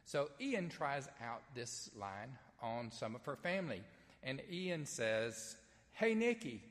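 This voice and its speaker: A Southern pastor with a mid-range male voice reads scripture and preaches in an easygoing, conversational way, often pausing on an um or uh. His voice rings out with a long reverb from a church sanctuary, streamed live. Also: medium pitch, very animated. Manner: folksy and conversational, with frequent um and uh. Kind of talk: Easter sermon with scripture reading.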